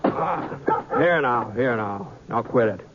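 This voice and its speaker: An actor playing an easygoing, loyal cowboy gunslinger in an old radio western, folksy and hesitant. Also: male voice, mid-range voice, animated, slow pace, over loud music. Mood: coaxing. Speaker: lead actor and narrator. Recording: dull, noisy old radio recording.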